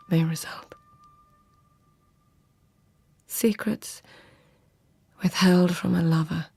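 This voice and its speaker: An actress playing an anxious, deferential court lady in a radio drama, speaking formally and earnestly, sometimes hushed. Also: sits low, slight background noise. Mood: reflective, intimate.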